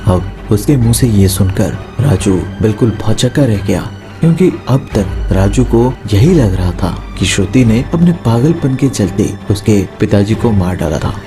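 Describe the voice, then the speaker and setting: A man's voice: fairly low pitch, animated, measured pace. A man narrating a scripted horror story as a storyteller. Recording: music behind the voice. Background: background music.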